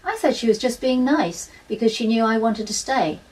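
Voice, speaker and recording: A woman's voice: on the high side, expressive, moderate pace, darkish tone, far from the mic, lightly acted, poor audio. A woman reading a children's audiobook as an earnest, quirky primary-school child telling a diary in the first person. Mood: candid, chatty. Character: schoolchild Joe, earnest, quirky Year 6 diarist.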